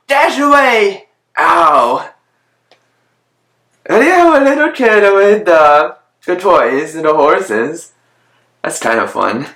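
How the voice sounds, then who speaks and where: A storyteller with a mid-range voice reads a children's story aloud at an unhurried pace. The delivery is halting and deliberate, with plain off-the-cuff commentary. The recording is roomy and echoing. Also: mid-range voice, a bit bright. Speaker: host reading children's stories aloud. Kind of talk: read-aloud of children's Christmas stories with off-the-cuff asides.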